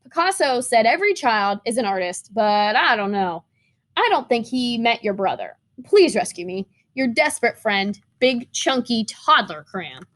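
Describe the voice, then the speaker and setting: A woman's voice: a little high, sing-song, normal speed, fairly bright tone. Woman playing an exasperated, fed-up crayon. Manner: exasperated, complaining. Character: desperate, fed-up toddler's crayon.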